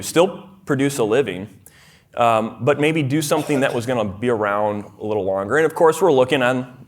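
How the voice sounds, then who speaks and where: A man in his forties from Minnesota, with a Minnesotan accent, giving a conversational talk at a church conference, full of fillers and restarts. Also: on the low side. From Minnesota, USA. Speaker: conference speaker. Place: church hall.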